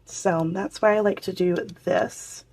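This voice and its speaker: Hoarse-voiced woman host, chatty and easygoing, talking viewers through a planner spread. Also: medium pitch, steady tone, a little slow, darkish tone. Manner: chatty, talks to viewers while working. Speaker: planner vlogger. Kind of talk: casual crafting chat.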